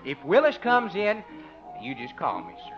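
An actor playing an eager, slow-witted young bodyguard in a 1950s radio comedy, folksy, earnest and polite. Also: male voice, high voice, huge pitch swings, a bit bright, heavily acted. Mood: bashful, folksy. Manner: folksy, earnest, guileless, polite sirs and ma'ams. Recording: muffled old radio recording, music behind.

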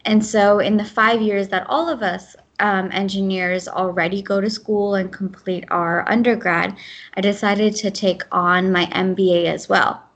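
A young woman in her twenties, born in the United States with Gujarati as her first language, answering interview questions in quick, casual speech with fillers and restarts. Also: medium pitch, steady tone, fairly bright tone, lo-fi sound. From United States (Ohio). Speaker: guest.

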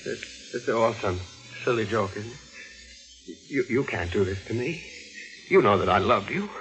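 A man's voice: lowish voice, animated, a little slow, music in the background. An actor playing a frightened young nephew pleading for his life in a 1940s radio mystery. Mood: terrified pleading. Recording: muffled vintage radio recording.

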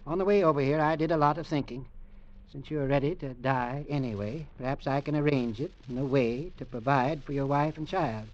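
An actor playing a cold, calculating older uncle, dry and condescending, in a mid-century radio drama. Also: male voice, medium pitch, brisk, close to an everyday voice. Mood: cool, calculating. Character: cold, calculating older uncle, a former South Seas trader. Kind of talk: 1940s radio drama acting. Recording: muffled old radio recording.

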